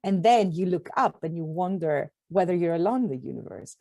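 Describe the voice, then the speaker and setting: A middle-aged woman from Greece with a Greek accent, answering interview questions thoughtfully with pauses and restarts. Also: on the low side, expressive, vocal fry, dark tone. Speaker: guest astronomer.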